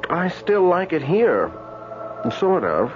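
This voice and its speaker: Wistful young spirit for radio drama, slightly high, lively pitch, muffled old sound. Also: male voice, slow, music in the background, heavily acted. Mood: wistful, tentative. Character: young male ghost, wistful, reluctant to leave.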